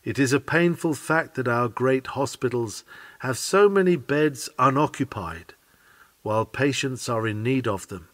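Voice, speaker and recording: A man's voice: medium pitch, animated, a little slow, a bit bright, no echo. A man reading a sermon aloud in an earnest, oratorical manner. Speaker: sermon reader.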